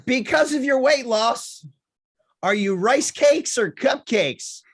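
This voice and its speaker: A man hosting a casual interview show, playfully teasing his guest with quick-fire questions. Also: very high-pitched, lively intonation, a bit bright, slightly rough. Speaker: show host.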